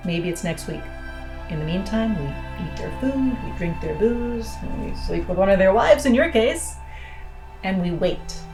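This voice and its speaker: A woman's voice: medium pitch, lively intonation, normal speed, close to an everyday voice. A woman playing a cynical, defiant astronaut in an audio drama, blunt, sardonic and persuasive, plotting an escape. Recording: roomy sound with music underneath.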